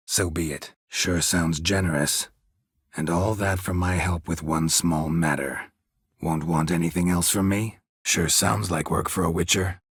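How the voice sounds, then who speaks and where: A man voicing a gruff, weary monster hunter in a fantasy video game, with a husky rasp and terse, deadpan, sardonic sentences. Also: low-pitched, no room sound, exaggerated voice. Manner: terse, clipped, deadpan sentences with dry sarcasm.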